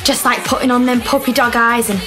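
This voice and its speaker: Actress as brash, high-voiced young party girl. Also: fairly even intonation, a little fast, over music, close to an everyday voice. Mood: brazen, teasing. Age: young adult. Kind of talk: naturalistic student radio drama acting.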